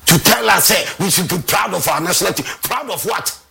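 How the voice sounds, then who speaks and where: A Ghanaian man rants with a heated, scornful edge, circling back to the same words again and again. His voice sits high and sounds bright and sharp. Underneath it is very husky and raspy. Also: lively intonation, fairly quick, faint music behind. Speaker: football fan commentator. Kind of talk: angry rant.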